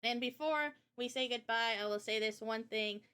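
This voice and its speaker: A woman with a fairly high voice talks casually as a livestreamer. Her delivery is chatty and informal, full of everyday slang. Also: normal speed, sharp tone. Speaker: live streamer and indie game developer.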